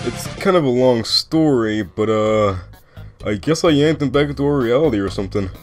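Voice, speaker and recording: A man voicing a laid-back, sardonic skeleton with a casual deadpan manner and a veiled threat, for a cartoon comic dub. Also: mid-range voice, moderate pace, faint music behind, lightly acted. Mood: wry.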